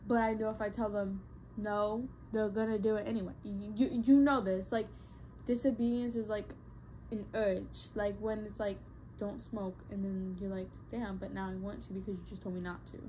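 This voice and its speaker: A woman's voice: mid-range voice, little pitch movement, moderate pace, dark tone, slight background noise, rough recording. A young woman vlogger chatting casually to her viewers, rambling with lots of fillers and restarts. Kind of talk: casual talk to camera.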